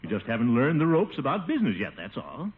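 An actor playing a pompous, blustering, scheming middle-aged American uncle in a 1950s radio comedy, exclaiming and wheedling. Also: male voice, medium pitch, wildly swooping pitch, quick pace, husky, exaggerated voice. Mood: wheedling, eager. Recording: tinny, noisy 1950 radio recording.

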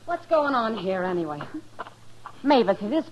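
A woman's voice: on the high side, very animated, moderate pace. An actress playing a bold, protective frontier woman in a radio western, outspoken, with an American accent. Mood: indignant. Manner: outspoken, forthright. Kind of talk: mid-century radio western acting. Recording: noisy, crackly old radio recording.

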